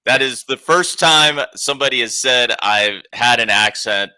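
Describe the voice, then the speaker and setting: A man's voice: medium pitch, unhurried, smooth, dry recording, poor audio. A man from New York, co-hosting a casual football watchalong and laughing often. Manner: laid-back, laughs often, animated reactions. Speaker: co-host of a fan watchalong. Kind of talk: casual match watchalong chat.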